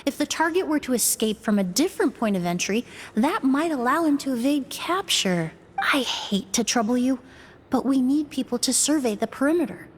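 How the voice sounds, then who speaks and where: A measured young investigator. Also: female voice, sits high, lively intonation, husky. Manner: measured, explanatory, deductive. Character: sharp analytical young woman investigator. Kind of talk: voiced game dialogue.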